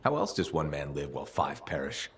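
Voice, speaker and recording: An actor playing a steady, frank, reassuring freelancer hero in a video game, speaking in a plain conversational way. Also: male voice, rumbling low, fairly quick, exaggerated voice. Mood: sympathetic, frank. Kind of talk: video game dialogue acting. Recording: clear ambient noise behind the voice.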